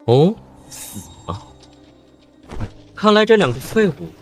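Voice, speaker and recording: A man voicing a haughty, imperious villain cultivator in an animated fantasy drama. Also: high-pitched, takes it slowly, slight background noise, full character voice. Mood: cold, scornful. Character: haughty, cold male villain cultivator of a sinister sect. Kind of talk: animated xianxia drama dubbing.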